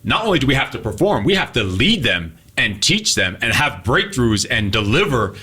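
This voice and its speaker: A man's voice: medium pitch, big pitch swings, moderate pace, pushed and bright, breathy edge. A man co-hosting a podcast, talking bluntly and swearing a lot. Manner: blunt, profane. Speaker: podcast co-host. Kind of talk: casual motivational talk.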